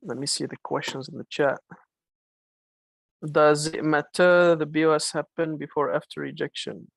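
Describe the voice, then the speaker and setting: A man's voice: a little high, fairly even intonation, moderate pace, gravelly, no echo, rough recording. A man teaching a live online trading class, coaching casually and reading out questions from the chat. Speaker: trading instructor.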